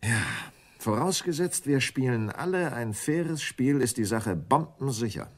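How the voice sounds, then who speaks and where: An actor playing a cool, calculating husband and plotter in a radio crime drama, speaking in a controlled, commanding way. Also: male voice, medium pitch, expressive, measured pace.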